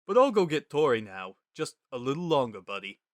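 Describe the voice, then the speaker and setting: A man's voice: medium pitch, sing-song, fairly quick, no room sound, clean studio sound, close to an everyday voice. A man voicing an easygoing skeleton who talks in a casual, laid-back way. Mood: reassuring. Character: easygoing short skeleton, relaxed and wry.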